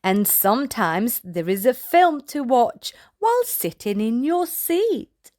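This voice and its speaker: A woman with a high voice reads a children's storybook aloud. Her delivery is slow and lively, swinging widely in pitch, as she speaks playfully to small listeners. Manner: addresses small children, playful sound effects. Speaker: children's read-aloud host.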